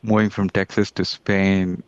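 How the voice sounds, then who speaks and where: Deep-voiced man hosting a podcast. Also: level tone, a little slow, clear, resonant tone, no room sound, muffled recording. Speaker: podcast host. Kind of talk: casual interview.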